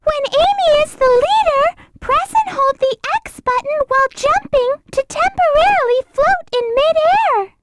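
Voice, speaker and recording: A woman's voice: very high-pitched, animated, a little slow, hardly any pauses, heavy background noise. A woman voicing a sweet, polite little girl rabbit in a video game, with a small childlike voice and an earnest manner. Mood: earnest, explaining. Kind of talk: video game character voice acting.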